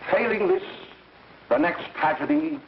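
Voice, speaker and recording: Earnest cautionary lecturer, gravelly and animated, emphatic 1930s screen oratory. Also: male voice, high-pitched, a little slow, exaggerated voice. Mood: urgent warning. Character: earnest male lecturer urgently warning an audience. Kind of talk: 1930s film acting, cautionary speech. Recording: echoey, muffled, noisy 1930s film soundtrack.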